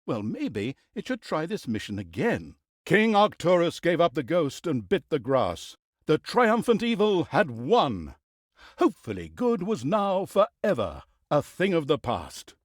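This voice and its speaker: A sardonic male storyteller narrates a comic fantasy game with mock grandeur. His fairly high voice swings widely in pitch as he slips in teasing asides, and the sound is dry and close. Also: a little mellow, no echo. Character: wry, mocking male storyteller of an evil fantasy campaign.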